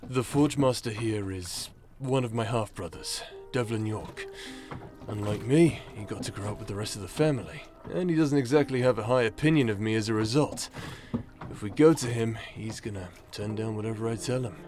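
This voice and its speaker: A man's voice: fairly low pitch, normal speed, close to an everyday voice. Casting: male actor for a cocky rogue paladin, crude and flirty, teasing delivery, audio roleplay, faint music underneath. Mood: uneasy. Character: cocky, vulgar, flirtatious bastard knight paladin, younger brother. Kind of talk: anime-style audio roleplay acting.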